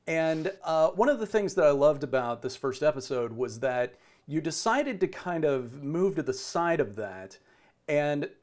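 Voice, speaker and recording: A middle-aged man hosting a casual interview over a video call, feeling his way through wordy, halting questions. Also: on the high side. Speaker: host interviewing a radio play's cast. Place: indoor room on a video call.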